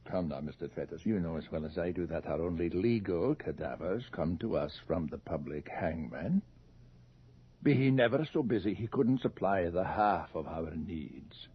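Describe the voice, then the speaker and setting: An actor playing a middle-aged, sardonic and commanding anatomy professor in a radio drama, with a pompous, dry manner. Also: male voice, deep voice, expressive, normal speed, breathy edge. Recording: dull noisy radio recording.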